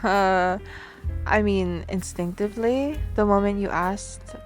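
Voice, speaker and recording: A woman's voice: medium pitch, takes it slowly, soft background music, natural voice. Bubbly, rambling young-hearted woman on a date, acted. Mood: playful, chatty. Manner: chatty, rambling, giggly, hesitant. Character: bubbly, playful, awkward woman at speed dating. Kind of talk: ASMR roleplay, natural conversational acting to the listener.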